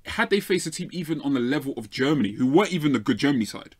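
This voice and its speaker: A London man in a sports debate, talking at a rapid clip. He drives his argument forward with emphasis and checks in with rhetorical tags as he goes. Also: on the high side, expressive. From South London, England. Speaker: panelist on a football fan show.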